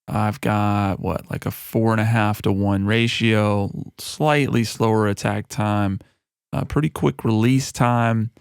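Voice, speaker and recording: Low-voiced man explaining a mix. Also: gravelly, smooth, no echo, clean studio sound. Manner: relaxed and conversational, with frequent fillers. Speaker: music producer and recording artist. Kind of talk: mixing tutorial walkthrough. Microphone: broadcast studio microphone.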